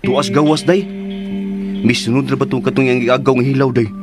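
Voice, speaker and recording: An actor playing a teasing, gossipy, jovial older uncle who exclaims often in a radio drama. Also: male voice, medium pitch, fairly even intonation, normal speed, music in the background, lightly acted. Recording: clear background noise.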